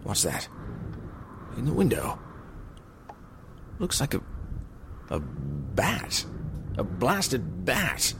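A man acts out a frayed, sleepless gentleman in a radio melodrama. His gravelly voice swings wildly in pitch as he mutters, gasps and whispers to himself in agitation, over a noisy track. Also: huge pitch swings, very slow, pauses often, full character voice. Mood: panicked terror. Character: nervous, sleepless wealthy man terrorized by a bat. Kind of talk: melodramatic radio drama acting.

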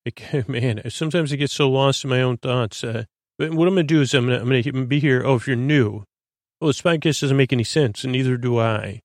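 A man with a creaky voice hosting a sleep podcast, meandering and halting as he stammers and drifts onto tangents. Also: mid-range voice, no echo, clean studio sound. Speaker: podcast host. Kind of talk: rambling bedtime-story podcast monologue to lull listeners to sleep.

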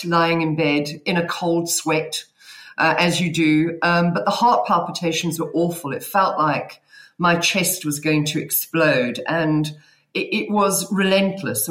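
A woman's voice: fairly low pitch, a bit bright. A middle-aged South African woman, a podcast guest, talking frankly and often repeating words as she goes. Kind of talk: casual chat over video call.

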